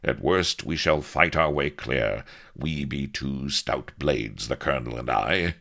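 A man's voice: rumbling low, unhurried, creaky, sharp tone, exaggerated voice. A man voicing a swaggering, gallant swashbuckler who declaims in theatrical archaic speech. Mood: gallant, confident. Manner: grandiloquent, declamatory.

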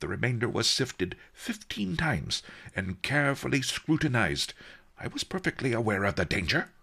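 A cunning old sage from a storybook. The voice is pitched high and swings wildly, with a huffy, indignant, theatrical delivery and a husky edge. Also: male voice, big pitch swings, slow pace, sharp tone, full character voice. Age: older. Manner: theatrical, huffy, sobbing outbursts.

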